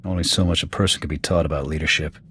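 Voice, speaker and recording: An actor playing a gruff, proud alien military officer in a video game, with an American accent and a blunt, clipped military delivery. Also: male voice, a bass voice, steady tone, very fast, bright, forceful tone, exaggerated voice. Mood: matter-of-fact, resolute. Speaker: soldier serving as second to his commander. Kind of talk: video game dialogue acting. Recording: background music under the dialogue.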